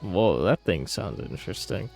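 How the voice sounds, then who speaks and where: A man's voice: low-pitched, very animated, normal speed, gravelly, music in the background. A man streaming a video game, chatting casually in a slangy, teasing way. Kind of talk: casual banter over gameplay.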